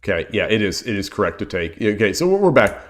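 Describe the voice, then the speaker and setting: Hoarse man thinking aloud over a game. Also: on the low side, sing-song, fast. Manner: thinks aloud, repeats phrases. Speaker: shogi streamer. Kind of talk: casual game commentary.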